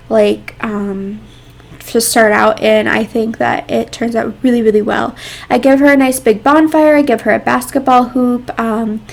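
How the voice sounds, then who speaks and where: A woman's voice: on the high side, vocal fry. A young woman with a congested, nasal voice, chatting casually over a game build with lots of ums and likes.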